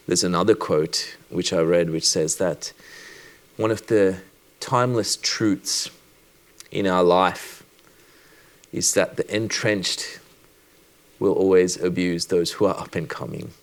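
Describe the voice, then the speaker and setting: A man from Sri Lanka who works as a doctor, giving a personal conference talk in a reflective, measured way with deliberate pauses. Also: sits low, fairly even intonation.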